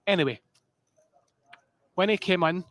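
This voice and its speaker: A man's voice: high-pitched, measured pace, fairly bright tone. Chatty Scottish man commentating poker. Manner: chatty and joking, sings snatches of song. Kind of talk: casual live commentary.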